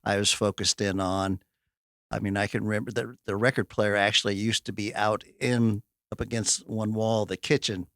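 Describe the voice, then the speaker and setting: A man in his sixties with a Midwestern American accent, a guitarist reminiscing in relaxed conversation. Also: fairly low pitch, normal speed, no echo, studio quality. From Kansas City area. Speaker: band guitarist. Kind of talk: casual chat. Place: radio studio.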